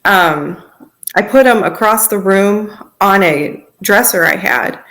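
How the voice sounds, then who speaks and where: A woman guest telling her personal story informally in an interview, in halting, pieced-together phrases. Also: medium pitch, expressive, a bit bright. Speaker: podcast guest sharing personal testimony.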